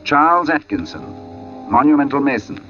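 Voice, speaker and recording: An actor playing a haunted artist narrating an eerie tale in a deliberate, brooding 1940s radio drama style, with dramatic pauses. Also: male voice, medium pitch, very animated, sharp tone. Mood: wondering, tense. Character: haunted artist narrating his eerie walk in oppressive heat. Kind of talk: 1940s radio thriller acting, first-person narration. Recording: muffled vintage radio recording with music.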